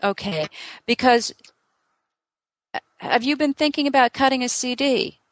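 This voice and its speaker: A woman's voice: high voice, no room sound. Chatty woman medium in a dry room. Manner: chatty, thinking aloud with many fillers; asks many quick questions in a row. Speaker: psychic medium. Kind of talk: live reading session with a client.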